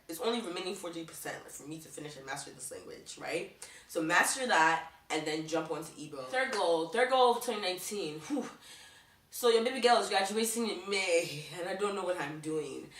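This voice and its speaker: A woman's voice: on the low side, fairly bright tone, roomy sound, far from the mic. A young woman vlogging casually to camera, chatting and leaning on "like" in nearly every sentence. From Baltimore, USA. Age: young adult. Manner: chatty, leans on "like" constantly.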